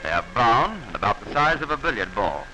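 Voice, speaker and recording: A man narrating a 1940s instructional film in a formal, instructive manner with measured diction, on an old film soundtrack. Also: mid-range voice, big pitch swings, noisy background.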